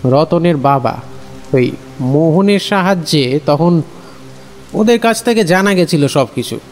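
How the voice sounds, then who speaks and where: A man's voice: fairly high pitch, animated, unhurried, faint music behind, pitched above the performer's own voice. A man voicing a plain-spoken, earnest villager who recounts frightening events in an audio story. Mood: earnest, recounting.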